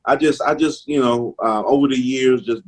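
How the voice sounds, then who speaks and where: A man's voice: mid-range voice, fairly even intonation, normal speed, low-quality recording. Drawling Southern man with a lisp. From Mississippi, US. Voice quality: slight lisp. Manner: laid-back, drawling, says "man" a lot. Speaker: stand-up comedian guest. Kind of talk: casual interview chat.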